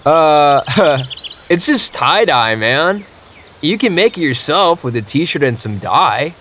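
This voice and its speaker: A spaced-out seventies hippie for a comic audio drama. He drawls along very slowly in a highish, wandering voice, mellow and easygoing, with clear noise behind. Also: big pitch swings, exaggerated voice. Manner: drawling, mellow stoner talk. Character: laid-back, spacey 1970s hippie man.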